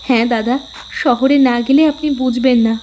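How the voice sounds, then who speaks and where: Woman playing a high-voiced kindly village housewife. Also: fairly even intonation, moderate pace, a little mellow, slight background noise, lightly acted. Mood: polite. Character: kindly, polite village housewife and sister-in-law. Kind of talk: cartoon story dubbing.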